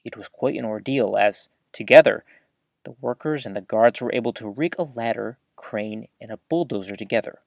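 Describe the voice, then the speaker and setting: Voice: medium pitch, normal speed, creaky, dry recording, close to an everyday voice. A performer playing an earnest, eager novice host of a small-town community radio show, chatty and folksy, talking straight to the listeners in an audio drama. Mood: upbeat, then concerned. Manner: folksy, chatty, expressive, addresses listeners directly. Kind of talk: scripted audio drama as local radio broadcast.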